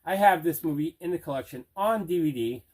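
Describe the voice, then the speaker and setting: A middle-aged man casually showing items in an unboxing, deliberate and repeating himself. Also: fairly high pitch, lively intonation. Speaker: host of a movie collecting show. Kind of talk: casual unboxing show-and-tell.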